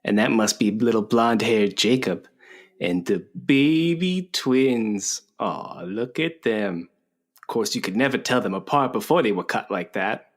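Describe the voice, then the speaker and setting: A man's voice: fairly low pitch, lively intonation, measured pace. A man playing a smirking, folksy, remorseless killer in a radio play, drawling and mocking as he savors his words. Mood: gleeful relish.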